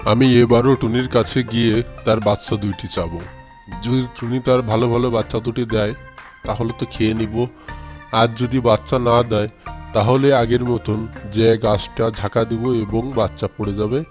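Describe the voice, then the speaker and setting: A man's voice: medium pitch, steady tone, normal speed, a little mellow, soft background music, close to an everyday voice. Man acting a gloating, wicked cartoon fox. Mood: greedy, demanding. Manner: gloating, bullying, commanding. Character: wicked, greedy, taunting male fox.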